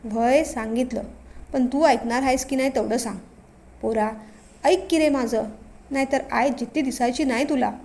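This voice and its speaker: A woman's voice: sits high, moderate pace, darkish tone. A woman voicing a worried village mother who talks in a pleading, emotional way.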